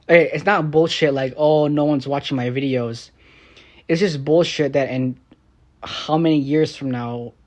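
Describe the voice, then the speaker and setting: A young man, a streamer and rapper, ranting on a live stream in a rambling, profane, frustrated way. He drops into low mutters and then bursts out loud. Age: young adult. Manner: swings between low mutters and raised outbursts.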